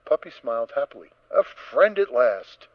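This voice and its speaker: A man's voice: mid-range voice, big pitch swings, normal speed, no echo, muffled recording. A man narrating a scripted story in a dramatic reading style.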